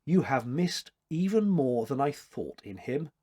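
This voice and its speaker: A man's voice: medium pitch, sing-song, measured pace, warm tone. Man voicing a stately, teasing older woman. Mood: blunt, brisk. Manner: formal, arch, commanding wit.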